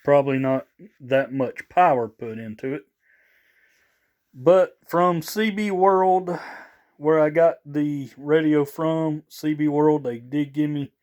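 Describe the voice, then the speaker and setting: Guy doing a casual show and tell vlog, real slow and rambly, kinda higher voice. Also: clear, resonant tone. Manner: rambling, halting, repeats himself. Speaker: vlogger showing radio gear. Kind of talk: casual product showcase.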